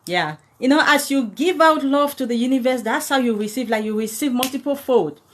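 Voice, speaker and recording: A woman's voice: high-pitched, a little fast, a bit bright, a little hiss or hum. A woman from Cameroon in her thirties, chatting casually to viewers about money and thanking them by name as she goes. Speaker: personal finance content creator. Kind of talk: casual livestream talk to viewers.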